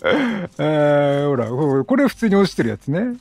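High-pitched, husky, excitable male gamer. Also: unhurried. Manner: chatty, reactive, slangy. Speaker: let's play commentator. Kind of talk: excitable game commentary.

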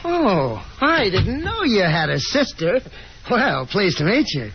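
An actor playing a friendly, breezy young gentleman caller in a 1950s radio drama, with an informal manner. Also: high voice, huge pitch swings, slow, crowd noise, full character voice. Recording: muffled, noisy old 1950s radio broadcast.

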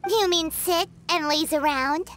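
A woman voicing a tiny, cheeky, childlike female fairy companion in a video game, squeaky and eager. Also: unhurried, a bit bright, a little hiss or hum. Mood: teasing. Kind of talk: scripted video game dialogue.

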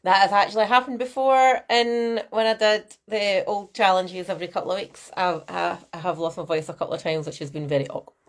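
A woman's voice: mid-range voice, brisk, hardly any pauses. A woman, a nutrition coach chatting through a live masterclass from a small room at home on her laptop mic. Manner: chatty, animated, gestures a lot, greets viewers by name. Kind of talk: live-stream masterclass to viewers. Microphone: laptop webcam mic.

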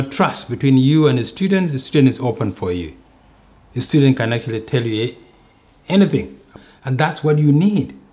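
Middle-aged male interviewee, measured and explanatory, mid-range voice, indoor sit-down. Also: mid-range voice, dark tone. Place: indoor sitting room.

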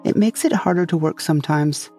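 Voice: medium pitch, a little fast, dark tone. A narrator delivering a scripted dramatic monologue in a sci-fi audio drama. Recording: faint music behind the voice.

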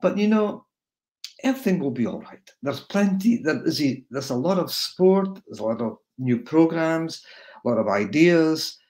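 An older man from Scotland with a Scottish accent, giving a relaxed, rambling webinar lecture over a video call from his home. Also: a little high, normal speed. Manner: relaxed, rambling with fillers and restarts. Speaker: guest speaker.

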